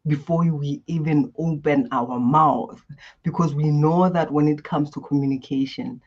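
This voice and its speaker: Expressive woman. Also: low-pitched, measured pace, highs cut off. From Botswana. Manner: expressive, guides listeners through breathing. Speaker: guest coach. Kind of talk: animated interview answers.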